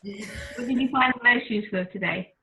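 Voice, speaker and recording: A woman leading an online group course over a video call, talking in a gentle, soothing way. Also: mid-range voice, normal speed, slight background noise. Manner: gentle, soothing, laughs easily. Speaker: course facilitator. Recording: tinny phone-like call audio.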